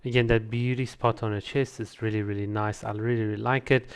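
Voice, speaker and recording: A man's voice: on the low side, level tone, fairly quick, clear, resonant tone. A man reviewing a video in casual commentary, repeating words for emphasis.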